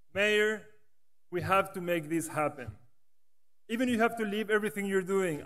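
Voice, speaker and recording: Rabbi speaking at a podium. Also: male voice, high voice, a bit bright. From Latin America. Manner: earnest storytelling with gestures. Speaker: rabbi, branch director. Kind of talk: conference speech. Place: large conference hall stage. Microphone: podium microphone.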